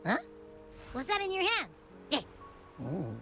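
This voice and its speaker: High, bright, curious girlish companion. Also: huge pitch swings, unhurried, faint music behind, exaggerated voice.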